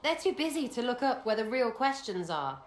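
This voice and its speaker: A cheeky, mocking elf rogue. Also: female voice, on the high side, sing-song, normal speed, roomy sound. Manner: mocking, blunt, playful. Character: cheeky irreverent young female elf rogue. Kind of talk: game cutscene dialogue.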